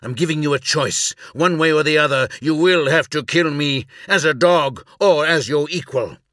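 A man's voice: mid-range voice, very animated, slow pace, husky, no echo, clean studio sound, heavily acted. A man voicing a proud, anguished captive warrior in an audiobook, intense and declamatory, full of defiant rage. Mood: angry, insistent.

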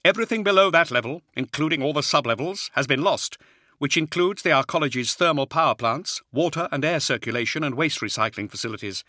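A man doing a strained, high army colonel. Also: animated, fairly quick, dry recording, clean studio sound. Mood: urgent. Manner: loud and strained. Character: urgent male soldier colonel, wiry, shouting.

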